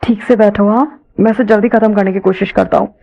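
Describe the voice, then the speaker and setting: A woman's voice: mid-range voice, steady tone, a little fast, highs cut off, lightly acted. A woman voicing a concerned, serious young man in a caring, restrained way for an audio story. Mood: quiet, serious. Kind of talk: dramatised audio story dialogue.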